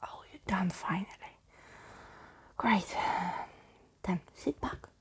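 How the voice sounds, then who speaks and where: A woman speaking softly and intimately in an ASMR bedtime storytelling style, as if to one listener, with a breathy, husky, creaky voice. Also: mid-range voice, expressive, takes it slowly. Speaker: ASMR storyteller reading a book aloud. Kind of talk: soft-spoken ASMR bedtime roleplay and reading.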